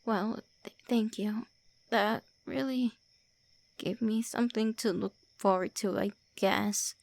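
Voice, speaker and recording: A woman's voice: mid-range voice, fairly even intonation, slow, vocal fry, no room sound. A woman voicing a young-looking female vampire lord who is secretly shy and calls the listener names. She whispers and stammers in an ASMR roleplay. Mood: flustered teasing. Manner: whispery, stammering, brusque teasing. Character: tsundere female vampire lord, centuries-old, looks early twenties, flustered, insulting.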